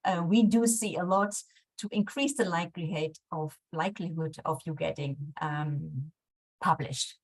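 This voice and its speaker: A woman's voice: low voice, fairly even intonation, normal speed, no echo, poor audio. Hesitant woman introducing a speaker. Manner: hesitant, with fillers and restarts. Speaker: webinar moderator.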